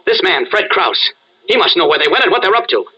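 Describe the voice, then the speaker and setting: A man's voice: high voice, big pitch swings, fast, pushed and bright, husky, full character voice. A man playing an earnest newspaper reporter in a radio serial, insistent and pleading. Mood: urgent. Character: earnest, urgent male newspaper reporter with secret identity. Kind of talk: old radio serial acting. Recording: dull, band-limited radio-style recording.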